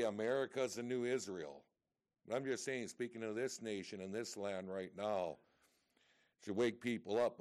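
A man with a loud voice teaching a church verse by verse. He reads scripture aloud with strong emphasis and breaks into casual asides to his congregation. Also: mid-range voice. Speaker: pastor.

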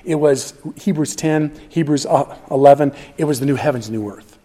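A middle-aged man preaching a sermon, animated, acting out quoted lines, with frequent restarts. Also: on the high side, normal speed. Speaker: pastor.

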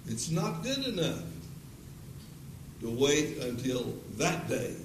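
Slow, earnest elderly preacher in an echoey hall. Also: male voice, a little high, very animated. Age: 80s. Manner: slow, earnest, repeated pleading questions. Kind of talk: closing sermon with altar call. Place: church auditorium. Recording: echoey auditorium from a distance with noise.

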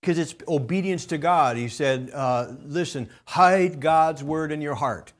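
A man preaching a sermon to his church as pastor, folksy and storytelling, his voice a little gravelly. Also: a little high, unhurried. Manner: folksy storytelling, loud bursts when quoting his own preaching. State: slightly gravelly voice.

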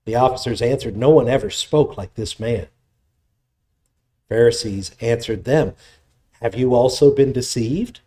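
A man preaching, reading scripture aloud, praying, then explaining the passage in a measured, teaching way. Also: mellow. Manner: measured expository preaching, voice drops low in prayer. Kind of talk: sermon with scripture reading and prayer.

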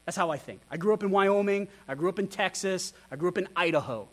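A man with a notably high voice delivering a sermon. He talks with candor and a self-deprecating streak, and he keeps asking his listeners rhetorical questions. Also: fast. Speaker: pastor.

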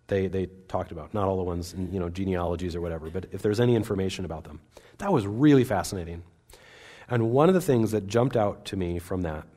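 A man's voice: fairly low pitch, darkish tone. A man preaching a sermon in a church service, speaking in an earnest, conversational way with small restarts.

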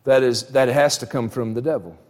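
A man's voice: mid-range voice, fairly even intonation, fairly quick, darkish tone. A man preaching a sermon in a conversational way, often putting rhetorical questions to his listeners. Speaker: preacher at a church. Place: large reverberant church room, live service. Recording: reverberant hall, live church sermon.

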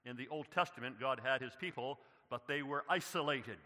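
A man preaching a sermon who rises to loud, emphatic passages and calls his listeners "beloved.". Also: medium pitch, brisk. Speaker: pastor. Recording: long reverb of a church hall. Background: long hall reverb.

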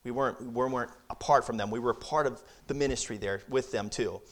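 A man's voice: mid-range voice, fast talker. A man in his thirties who grew up in Brazil, giving an earnest, conversational missionary talk to a church, often repeating words as he goes. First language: Portuguese. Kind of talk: church presentation, Q&A.

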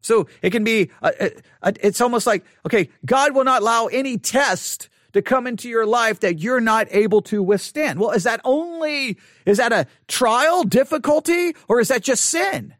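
Male Bible study teacher, very high voice, halting and repetitive, close and dry. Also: fairly bright tone, no room sound. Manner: halting, repeats words while working through a thought. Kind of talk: thinking-aloud Bible study lesson.

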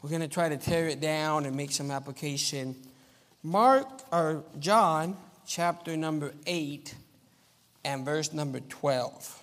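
A man's voice: a little high, slow, a bit bright. A man who grew up in Argentina, preaching as a visiting missionary in an informal, plain-spoken way to a congregation. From Argentina, as a missionary kid. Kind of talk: sermon with anecdotes and prayer. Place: church sanctuary, live Sunday service. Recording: echoey church hall with long reverb.

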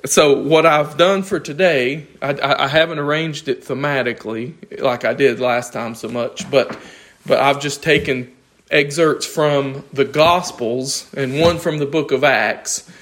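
A man's voice: medium pitch, a bit bright. A man, losing his voice, teaching an informal Bible class in a conversational, thinking-aloud way and asking the class questions.

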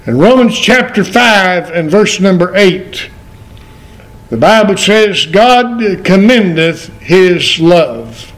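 An old man in his eighties preaching a sermon in a church, quoting scripture in slow, emphatic phrases. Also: high-pitched, lively intonation, fairly bright tone. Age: 80s. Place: church sanctuary. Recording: very echoey church from far off.

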